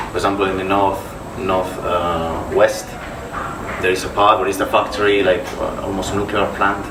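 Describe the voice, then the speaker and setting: A man from Spain speaking as an interview guest, hesitant and searching for words. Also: low-pitched. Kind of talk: casual conversation. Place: office contact centre. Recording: roomy, distant, background hum.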